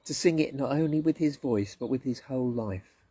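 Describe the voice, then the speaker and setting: A man reading a Bible story for children in a gentle, expressive storytelling manner. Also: medium pitch, normal speed, husky. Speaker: story reader at a church.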